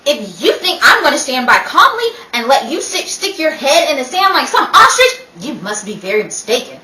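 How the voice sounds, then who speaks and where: Meddling high-society gossip, played by a woman, theatrical false concern, high voice, 1930s radio style, distant mic. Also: big pitch swings, moderate pace, sharp tone, exaggerated voice. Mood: gossipy insistence. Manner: theatrical, gushing. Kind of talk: 1930s radio play acting. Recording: echoey room, distant mic, faint noise.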